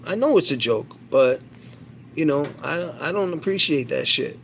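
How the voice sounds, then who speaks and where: A man with a fairly high voice talks to his stream viewers in a loose, rambling way. He swears, boasts and jumps from one point to the next. There is a faint hiss of background noise under his voice. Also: expressive, moderate pace, gravelly, poor audio. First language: English. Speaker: livestreamer. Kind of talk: casual livestream chat.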